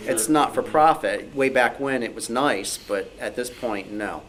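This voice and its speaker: A man with a medium-pitched voice gives informal interview answers. His delivery is measured, dry and matter-of-fact. Faint meeting-room noise sits behind him. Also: fairly bright tone, poor audio. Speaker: author, guest speaker.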